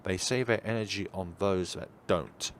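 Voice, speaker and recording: A man's voice: low-pitched, moderate pace, a little hiss or hum. A man reading a visual novel aloud as narration, with casual side remarks and the odd stumble he corrects.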